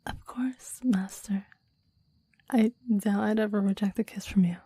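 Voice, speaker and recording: A woman acts out a devoted, clingy demon lover in an ASMR romance. The voice is very dark and soft, mostly a breathy whisper, unhurried and very dry at the mic. The delivery is intimate and yearning, with formal, reverent phrasing. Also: medium pitch, steady tone, no room sound. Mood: blissful, adoring. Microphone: close microphone, dry.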